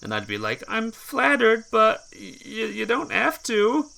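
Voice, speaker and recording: A man live-streaming a visual novel, reading the text aloud and breaking off for casual, halting asides to his viewers. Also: high-pitched, wildly swooping pitch, creaky, bright, forceful tone. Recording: music behind the voice.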